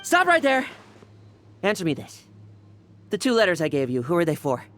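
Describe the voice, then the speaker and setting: Brash, very animated young fighter. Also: female voice, lowish voice, moderate pace, heavy background noise. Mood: suspicious. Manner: blunt, cocky. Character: brash, wary young fighter, blunt and confident. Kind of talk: video game voice acting. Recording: music behind the voice.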